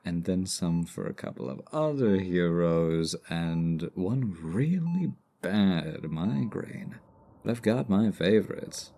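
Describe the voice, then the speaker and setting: Casting: male actor as smug, playful villain for audio roleplay, mellow and lively in intonation, faint background noise. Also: sits low, gravelly, heavily acted. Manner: smug, playful drawl with mock politeness. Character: cocky, teasing male supervillain as chatty hospital patient. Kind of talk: ASMR roleplay audio drama.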